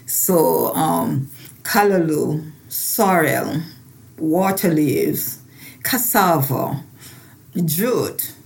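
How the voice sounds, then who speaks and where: A woman's voice: sits low, big pitch swings, very slow, vocal fry. A woman from Jamaica with a Jamaican accent, a farmer and researcher talking personally in an interview and repeating phrases for emphasis.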